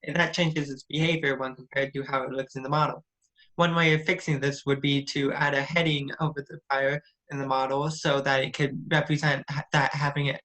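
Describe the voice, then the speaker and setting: Young male student presenter, slightly high voice, monotone, prepared talk, small stumbles. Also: low-quality recording.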